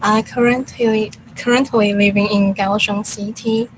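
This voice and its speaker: A woman's voice: mid-range voice, level tone, unhurried, slight background noise, poor audio. A woman from Taiwan introducing herself in English at a meditation meeting. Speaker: meeting participant.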